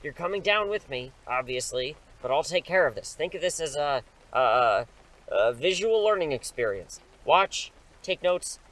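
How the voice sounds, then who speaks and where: A man's voice: on the high side, expressive, moderate pace, a bit bright, rough recording. A man playing a confident superhero mentor in an audio drama, with a dry, self-assured, instructive manner. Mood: brisk coaching.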